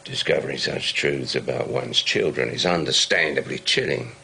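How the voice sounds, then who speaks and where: An actor playing a philosophical, chilling prisoner and confessed murderer, with gravelly, formal, deliberate speech. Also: male voice, extremely low pitch, sharp tone, a little hiss or hum, full character voice, rough recording. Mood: measured, knowing. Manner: formal, literary, deliberate wording. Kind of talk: screen drama acting.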